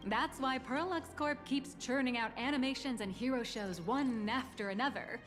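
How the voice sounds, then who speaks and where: A proud company guide woman walks visitors through her firm's achievements in a video game. She sounds polite and businesslike, in a slightly raised register. Soft music runs underneath. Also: sits high, normal speed. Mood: brisk pitch. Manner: polite, promotional, explanatory.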